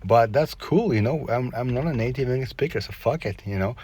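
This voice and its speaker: A man's voice: mid-range voice, animated, a little fast, warm tone, slight background noise. A man with a thick Israeli accent, a native Hebrew speaker, giving casual reaction commentary in a rambling, profane way with frequent restarts. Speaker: video reviewer.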